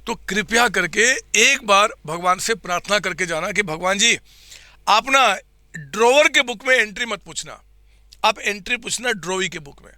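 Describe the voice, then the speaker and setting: A man teaching accounts in a live online class, with a slightly hoarse throat, quizzing his students and answering for them in a coaxing way. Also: sits high, animated, normal speed, pushed and bright, dry recording.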